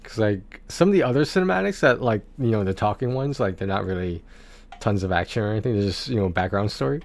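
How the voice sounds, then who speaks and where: A man chatting casually on a game livestream, talking in a rambling, halting way and often repeating his words. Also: lowish voice, expressive, gravelly.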